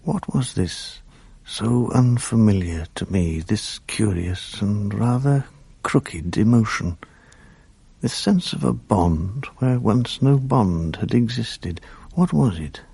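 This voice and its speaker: An actor playing a grown man who narrates his memories of youth in a radio play, thoughtful and measured. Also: animated, vocal fry, warm tone, breathy edge, dry recording, full character voice. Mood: musing. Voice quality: slow, measured, low-key delivery. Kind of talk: reflective first-person narration in a radio play.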